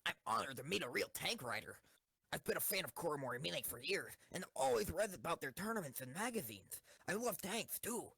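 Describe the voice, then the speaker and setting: A man's voice: on the high side, big pitch swings, fairly quick, gravelly, bright, forceful tone, breathy edge, no room sound, full character voice. A man playing an eager, formal schoolgirl tank enthusiast in an amateur audio drama. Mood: gushing, eager. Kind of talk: amateur anime-style audio drama acting.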